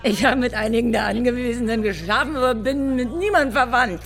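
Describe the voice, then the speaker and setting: An actress playing a brash, chatty hostess at a party in a radio drama. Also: female voice, a little high, a little fast, creaky. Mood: brash, merry.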